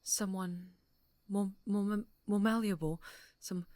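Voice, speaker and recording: Woman acting as obsessive yandere superheroine, slow delivery, sweet menace, breathy whispers, close dry sound, ASMR drama. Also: mid-range voice, fairly even intonation, dark tone, dry recording, lightly acted. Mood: wounded, brooding.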